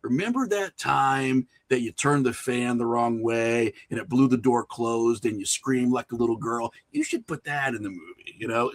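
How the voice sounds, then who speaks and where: A middle-aged man speaks as a guest in a relaxed interview. His voice sits at an average male pitch. He talks candidly, pokes fun at himself and swears freely. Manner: candid, self-mocking, profane. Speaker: podcast guest, horror filmmaker and writer. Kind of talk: casual interview chat.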